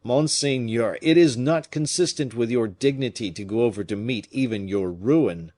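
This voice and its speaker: A man's voice: mid-range voice, moderate pace, dark tone, natural voice. A man reading an audiobook, voicing a nobleman's anxious, loyal aide who urges caution. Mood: pleading. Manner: urgent, pleading.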